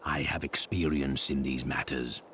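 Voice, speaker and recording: A man's voice: rumbling low, fairly even intonation, unhurried, fairly bright tone, slight background noise. An actor playing a stern, stoic male warrior hero in a fantasy game, speaking in terse, solemn, formal phrases. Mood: stern, resolute. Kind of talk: dramatic fantasy game acting.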